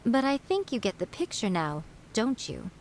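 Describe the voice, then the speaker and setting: A woman's voice: a little high, very animated, normal speed, a little mellow, slight background noise. A woman voicing a sly, wise fox-spirit shrine priestess in a video game, composed and lightly teasing as she lectures.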